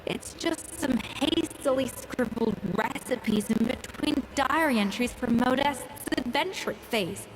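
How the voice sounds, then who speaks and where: A woman's voice: high voice, measured pace, few pauses, noisy background. A woman playing a confident, proud young master chef in an anime game, with an assured, polished delivery. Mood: troubled, pensive.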